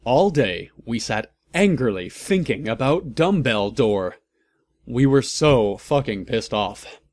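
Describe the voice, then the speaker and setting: A man reading a story aloud for comedy, deadpan and mocking, with sarcastic asides. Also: mid-range voice, slow, dry recording. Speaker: host of a fan fiction reading channel.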